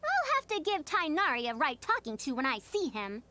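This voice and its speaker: A woman's voice: high-pitched, huge pitch swings, fairly quick, bright, forceful tone, exaggerated voice. A woman voicing a prim, haughty scholar in an anime-style game, youthful-sounding, with pompous, scolding, formal phrasing. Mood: fussing concern.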